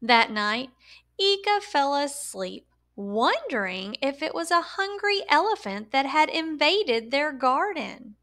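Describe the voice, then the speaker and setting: A woman reads a children's story aloud in a high voice. Her intonation swings widely and expressively. She goes slowly and deliberately, giving words emphasis for young listeners. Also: sing-song, fairly bright tone. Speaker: storyteller reading a picture book aloud.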